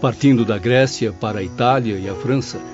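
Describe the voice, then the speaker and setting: A man's voice: mid-range voice, a little fast, close to an everyday voice. A Brazilian man playing a composed, learned spirit professor who speaks formally in an audiobook drama. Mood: interested. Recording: slightly dull audio over music.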